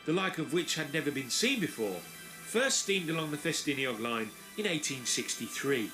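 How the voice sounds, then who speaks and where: A man's voice: on the high side, lively intonation, normal speed, pushed and bright. Male documentary narrator over music.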